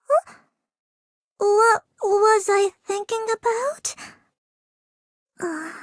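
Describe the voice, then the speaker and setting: A woman voicing a sweet, absent-minded little girl with a high childlike voice for a video game. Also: fairly bright tone, no room sound, studio quality, exaggerated voice. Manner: slow, absent-minded, hesitant. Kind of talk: anime-style game character acting.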